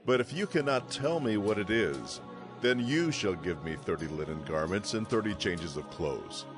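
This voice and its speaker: Headstrong young ancient hero for Bible audio drama; deep-leaning, animated, formal, music underneath. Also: male voice, moderate pace. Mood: challenging. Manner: commanding, archaic formal phrasing. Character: headstrong young Israelite strongman, brash and proud.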